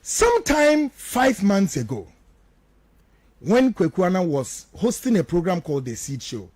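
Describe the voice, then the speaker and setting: A man's voice: sits high, huge pitch swings, measured pace, darkish tone, slightly rough, no room sound. A Ghanaian man, a native Twi speaker, giving emphatic, accusatory political radio commentary in a declamatory way. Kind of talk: political talk radio commentary, mixing local language and English.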